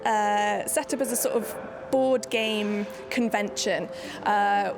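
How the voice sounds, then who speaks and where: A woman's voice: mid-range voice, fairly even intonation, takes it slowly, music in the background. A woman from Nottingham, a solo theatre maker, talking in a documentary interview in a chatty, self-deprecating way with many restarts. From Nottingham, England.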